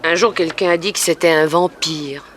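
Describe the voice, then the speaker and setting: Frightened submissive young streetwalker, resigned delivery, 1960s radio drama, faint noise. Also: female voice, deep voice, steady tone, moderate pace, a bit bright. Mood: resigned, uneasy. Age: young adult. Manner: resigned, plaintive, plain-spoken. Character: weary, frightened, submissive young streetwalker under a pimp's hold. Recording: faintly noisy old radio recording.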